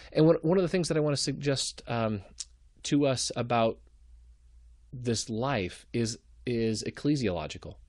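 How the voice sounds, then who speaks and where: A man's voice: medium pitch, a little mellow, no echo. A man in his thirties giving a recorded theology lecture from a small home office, speaking earnestly and building emphasis through repeated phrases. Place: home office with bookshelves, indoors. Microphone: webcam at desk.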